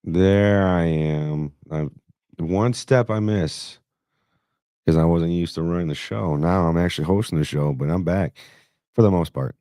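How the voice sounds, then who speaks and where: Deep raspy man hosting a podcast. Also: measured pace, dark tone, dry recording, studio quality. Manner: brash, profane, teasing. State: hoarse after illness. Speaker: podcast host. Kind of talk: casual crude banter.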